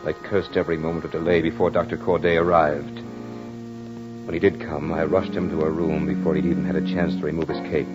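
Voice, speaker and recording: A man's voice: extremely low pitch, little pitch movement, brisk. An actor playing a brooding French nobleman and husband in an old-time radio horror drama, speaking in a formal, grave, theatrical manner. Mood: helpless dread. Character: brooding French nobleman husband, narrating his tale of dread. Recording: muffled old radio recording with music.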